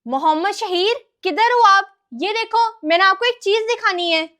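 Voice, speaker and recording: Very high-pitched bickering big sister played by a woman. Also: moderate pace, sharp tone, faint music behind. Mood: mocking. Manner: sarcastic, complaining. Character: bickering, tattling older sister, sarcastic and accusing. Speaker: actor in a comic skit. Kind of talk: comic family skit acting.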